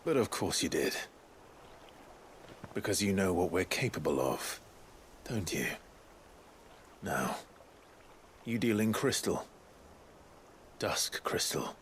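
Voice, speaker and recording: An actor playing a stern, dry-witted fantasy warrior leader in a game cutscene, terse and commanding. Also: male voice, low voice, animated, takes it slowly, pauses often, slight background noise. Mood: threatening, cool. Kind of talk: acted game cutscene dialogue.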